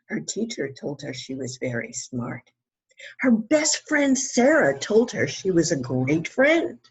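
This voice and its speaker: A woman's voice: on the low side, slightly rough. A woman reading a children's story aloud expressively, with emphatic phrasing and questions put to young listeners. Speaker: children's author reading her book aloud.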